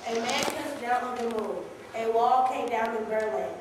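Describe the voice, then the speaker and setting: A woman's voice: a little high, normal speed. A young woman reading a political speech aloud at a church service. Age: young adult. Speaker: congregation youth member reading a speech. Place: large church, indoors. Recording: very echoey church, far off, faint noise.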